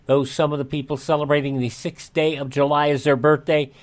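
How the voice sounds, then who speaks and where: A man's voice: on the high side, level tone, brisk. A man hosting a radio show, reading items and announcements in a presenter's style. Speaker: radio host.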